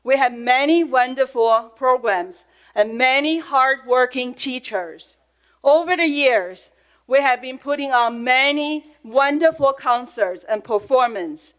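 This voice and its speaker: A woman's voice: high voice, takes it slowly. A middle-aged woman with a Chinese accent, giving an earnest, emotional prepared comment at a public school board meeting.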